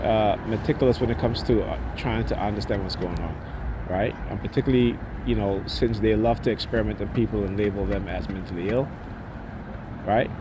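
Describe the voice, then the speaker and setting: A man's voice: on the low side, fairly quick. A man with a stuffy nose from a slight cold, talking in a rambling monologue that keeps ending on "right?" and restarting its sentences. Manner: frequent "right?" tags, many restarts. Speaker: vlogger. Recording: loud street noise behind.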